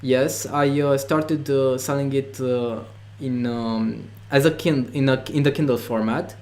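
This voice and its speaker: A man with a Romanian accent talks in an interview, pausing often with fillers and restarting his sentences. English is not his first language, and his voice sits at an ordinary middle pitch for a man. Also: steady tone, a little mellow. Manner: hesitant, many fillers and restarts. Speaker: online course creator. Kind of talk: interview conversation.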